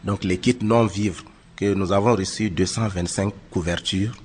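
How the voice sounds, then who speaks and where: A man's voice: low-pitched, fairly even intonation, a little slow, darkish tone, slight background noise. A man with a Burkinabe accent, an aid committee president giving a factual, methodical interview report. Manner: methodical listing of figures.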